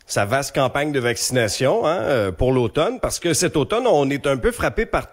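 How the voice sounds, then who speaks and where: A man in his thirties with a Quebec French accent and a congested nose, giving animated, colloquial radio commentary into a close mic. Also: medium pitch, moderate pace. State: congested from a respiratory infection. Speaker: radio columnist and host. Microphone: close studio microphone, remote video link.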